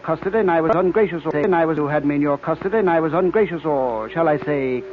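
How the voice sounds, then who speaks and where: A man's voice: on the high side, fast, natural voice. Sinister male mastermind played by an actor, gloating and silky, vintage radio drama, narrow noisy recording. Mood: defiant, smug. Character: smug, sinister criminal mastermind hypnotist, a man. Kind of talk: 1940s radio drama acting.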